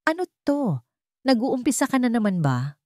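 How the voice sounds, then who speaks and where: A woman's voice: on the high side, sing-song, moderate pace, darkish tone, dry recording, clean studio sound, voice pushed up. A woman with a Filipino accent voicing a cruel, smug husband in a story, sneering and taunting. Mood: irritated.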